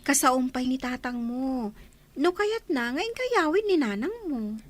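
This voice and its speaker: A woman's voice: sits high, expressive, a little slow. An actress playing an opinionated woman confidante giving advice in a radio drama. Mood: probing.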